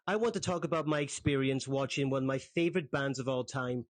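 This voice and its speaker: A man's voice: mid-range voice, brisk. A young man from Wales with a dry, self-mocking humor, narrating a scripted commentary about a band. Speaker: video essayist.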